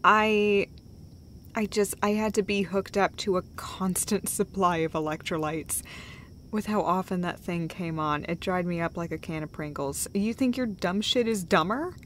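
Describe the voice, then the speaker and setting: A woman's voice: lowish voice, slight background noise, natural voice. A woman playing a tender, foul-mouthed girlfriend who comforts her partner in an intimate, conversational roleplay, rambling and hesitating as she goes. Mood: animated, exasperated ranting. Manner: halting, hesitant, rambling, sweary. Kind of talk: intimate roleplay audio monologue, casual and conversational.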